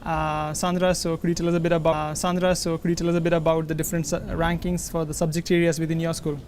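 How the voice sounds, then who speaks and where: A man in his thirties hosting a university webinar in a large library hall, friendly and measured with small fillers. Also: fairly high pitch, flat delivery, darkish tone, a little hiss or hum. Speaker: host.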